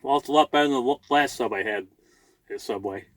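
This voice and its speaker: A man's voice: medium pitch, quick pace, fairly bright tone, no echo. A man with a folksy, rambling manner, chatting casually as a vlogger inside a truck cab. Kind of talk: casual vlog chat.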